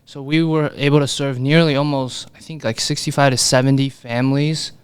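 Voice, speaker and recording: Easygoing man, smooth clear voice. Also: mid-range voice, fairly even intonation, fairly quick. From New York City, USA. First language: Chinese. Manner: easygoing, frequent "you know" fillers and restarts. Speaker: podcast guest, mortgage broker. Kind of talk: casual interview chat. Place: podcast studio. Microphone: podcast microphone.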